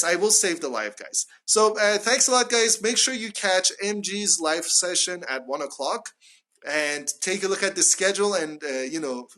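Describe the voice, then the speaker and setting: Bright-voiced man teaching live. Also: fairly high pitch. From Canada. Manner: friendly, chatty, many uh fillers. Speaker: English teacher. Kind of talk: live-streamed English lesson. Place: small room indoors at home. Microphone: phone at arm's length.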